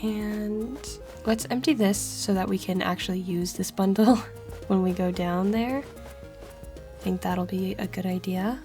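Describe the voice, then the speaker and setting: A woman hosting a relaxed let's play, her voice stuffy and congested from a cold. She talks in an unhurried, chatty way, laughing softly between thoughts, with faint music underneath. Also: mid-range voice. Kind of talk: casual gameplay commentary.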